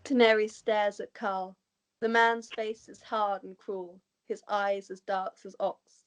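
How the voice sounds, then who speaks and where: Woman, medium pitch, narrator, scripted stage directions, amateur table read. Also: level tone, takes it slowly, smooth.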